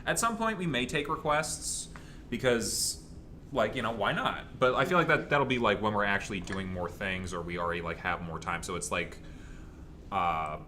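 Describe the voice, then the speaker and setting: A young man chatting casually as co-host of a gaming channel, answering audience questions in a rambling, halting way. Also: lowish voice, expressive, pushed and bright, a little hiss or hum.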